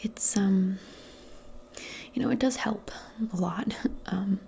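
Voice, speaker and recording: A woman doing a soft-spoken ASMR roleplay, mostly in a breathy whisper, with a gentle, reassuring and hesitant way of talking. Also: medium pitch, slow, a little hiss or hum.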